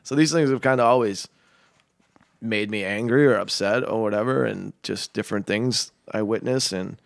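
Gravelly-voiced man from Boston. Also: lowish voice, no echo, studio quality. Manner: halting, thoughtful, many fillers and restarts. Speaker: singer-songwriter guest. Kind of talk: casual interview chat.

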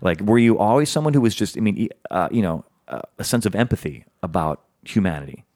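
A male podcast host asking rambling, animated questions packed with "like"s in a casual interview. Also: lowish voice, fast talker, dry recording, clean studio sound.

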